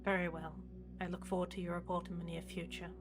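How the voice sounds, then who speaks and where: Casting: woman as a dutiful, anxious starship prefect, lowish and very even in tone, formal audio-drama delivery. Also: music in the background, lightly acted. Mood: composed, formal. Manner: formal, measured, official. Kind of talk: scripted sci-fi audio drama log.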